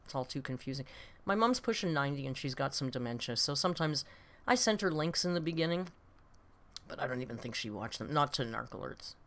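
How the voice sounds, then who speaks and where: Late 50s lady streamer, deep-ish voice that goes up and down loads, rambly casual chat, pokes fun at herself, bit sweary. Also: animated, fairly quick, fairly bright tone. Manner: rambling, self-deprecating, blunt with mild swearing. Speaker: host of a reaction live stream. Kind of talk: casual live-stream chat, reading viewer comments.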